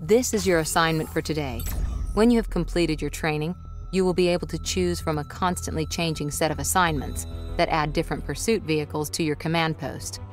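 A woman's voice: low voice, brisk, close to an everyday voice. A woman voicing a composed tutorial guide in a video game, giving scripted, instructional briefings to new players. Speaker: tutorial narrator. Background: music.

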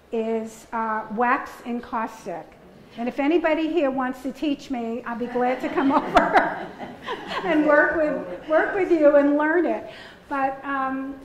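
An older woman in her seventies speaks with a thick Bronx New York accent, her high voice rambling and restarting as she laughs her way through audience questions. She talks in a roomy museum gallery picked up by a distant camera. A faint room hum sits under her. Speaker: artist giving a talk.

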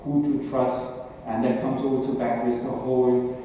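A man with a German accent giving an informal presentation as a conference speaker, phrasing things haltingly with repeated words. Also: medium pitch, little pitch movement, warm tone. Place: indoor meetup presentation room with seated audience. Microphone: distant camera at back of room. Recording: roomy echoing hall, distant mic, faint noise.

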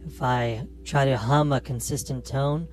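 A middle-aged man giving a tutorial demonstration on music software in a small home studio. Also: medium pitch, fairly even intonation, unhurried.

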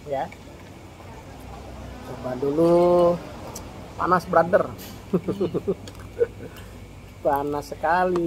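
A middle-aged man filming a casual food review vlog at a cafe table, talking to viewers in a friendly, chatty way and calling them "brother". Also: on the high side. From Indonesia. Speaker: food vlogger. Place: open-air cafe, evening. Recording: noisy cafe phone recording.

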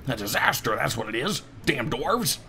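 A man's voice: mid-range voice, very animated, normal speed, vocal fry, bright, forceful tone, slight background noise, exaggerated voice. A man voicing a grumpy, indignant shopkeeper in a game, grumbling as he talks.

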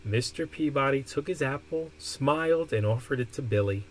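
A man reading a children's picture book aloud expressively, with deliberate, emphatic phrasing. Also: medium pitch, a little hiss or hum, rough recording. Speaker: storybook reader. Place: indoor room.

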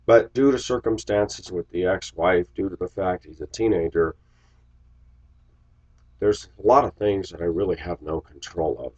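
Deep, husky American man with a cold. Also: normal speed, a little mellow. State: congested, getting over a cold. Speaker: vlogger. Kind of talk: casual confessional monologue to viewers. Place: small indoor room with shelves. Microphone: webcam microphone at desk distance. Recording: dull lo-fi webcam audio.

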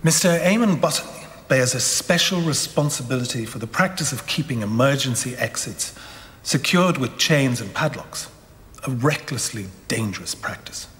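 TV drama role: male actor as middle-aged tribunal official, measured and solemn, medium pitch, long room reverb. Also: animated, a bit bright, close to an everyday voice. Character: grave male tribunal official reading out findings. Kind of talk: formal read-out in a television drama. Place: large courtroom-like hall, indoors.

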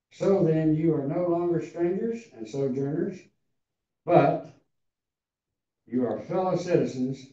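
Elderly male preacher in his 80s, raspy and dark-toned, heard from afar in a roomy church hall. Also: mid-range voice. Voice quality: raspy, tender voice. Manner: slow, deliberate, halting reading. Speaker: preacher reading scripture. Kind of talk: sermon with scripture reading. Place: large church hall before a seated congregation. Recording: roomy, distant, dull hall sound.

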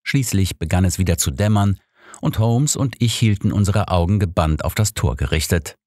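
A man's voice: sits low, expressive, moderate pace, a little mellow, dry recording. A man narrating a scripted first-person story as an audiobook reader, close on a studio microphone.

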